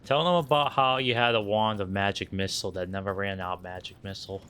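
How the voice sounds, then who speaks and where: A man's voice: fairly low pitch, fairly even intonation, fast talker, a bit bright, clean tone. A man chatting casually on a stream, loose and teasing, with plenty of fillers, into a desk microphone. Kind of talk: casual chat.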